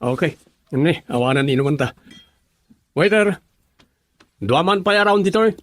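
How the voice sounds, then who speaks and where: High, animated gossipy best buddy played by an actor. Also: male voice, moderate pace, lightly acted. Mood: advising, exasperated. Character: gossipy, levelheaded male buddy giving advice. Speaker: voice actor in a radio drama. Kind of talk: Ilocano radio drama acting.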